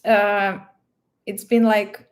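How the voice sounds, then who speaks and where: A woman's voice: mid-range voice, little pitch movement, very slow. A woman with a Russian accent, a native Russian speaker, chatting casually and playfully as she answers livestream questions, often searching for words. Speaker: livestream host.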